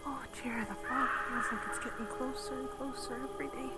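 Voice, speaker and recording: A woman playing an anxious, frazzled, gently motherly townswoman in an improvised horror roleplay. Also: high voice, fairly even intonation, fairly quick, natural voice. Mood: fretful. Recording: music behind the voice.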